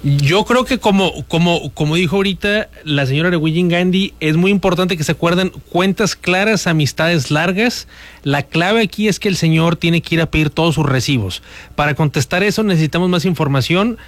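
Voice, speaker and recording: Male radio host, upbeat and persuasive, slightly high voice, dry close sound. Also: moderate pace, no room sound. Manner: upbeat, persuasive promotional delivery. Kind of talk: radio talk show hosting.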